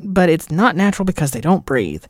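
A man's voice: a little high, very animated, fairly quick, no echo, studio quality. A man talking casually and explaining things as a podcast audio editor.

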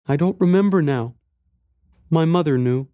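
Man voicing a small high-pitched little boy. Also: normal speed, no echo, highs cut off, natural voice. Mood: small, plain. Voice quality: soft, light, childlike. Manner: simple, plaintive speech. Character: small frightened little boy, lonely and trusting. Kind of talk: audiobook character voice.

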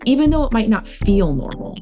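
A woman hosting an educational podcast, reading a scripted narration in a gentle, reassuring manner. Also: on the high side, very animated, brisk. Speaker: podcast host. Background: background music.

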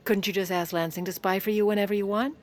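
A woman's voice: mid-range voice, steady tone, fast, natural voice. An actress playing a sardonic, middle-aged First Lady in audio fiction, wry and politely barbed. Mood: barbed politeness. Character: sardonic, steely Virginian First Lady, scheming and charming. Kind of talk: audio fiction acting with first-person narration.

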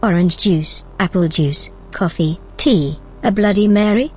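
A woman playing a calm, helpful, gently teasing artificial intelligence in a naturalistic audio drama. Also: fairly low pitch, measured pace, slightly rough. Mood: obliging, playful. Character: calm, obliging, playful female artificial intelligence.

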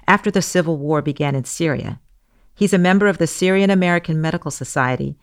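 A woman hosting a news podcast, narrating in a measured, explanatory way. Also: sits low, vocal fry. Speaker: guest podcast host.

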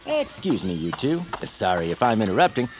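A man's voice: fairly low pitch, very animated, fairly quick, slight background noise. An actor playing a dashing, courteous male game show host in a comedy audio drama, polished and polite. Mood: admiring.

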